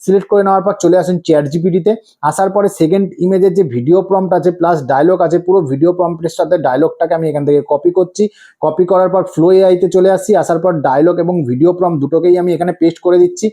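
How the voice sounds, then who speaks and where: A man walks viewers through a tutorial in a high, mellow voice. He talks quickly, repeats each step and keeps asking rhetorical questions before answering them. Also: steady tone. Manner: instructive, repetitive, prompting viewers with rhetorical questions. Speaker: tutorial host.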